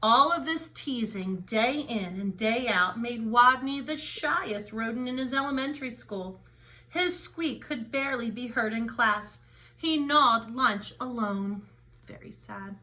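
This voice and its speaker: A woman's voice: a little high, a little slow, pushed and bright, low-quality recording. A woman storyteller reading a children's book aloud with dramatic emphasis, stretching out key words.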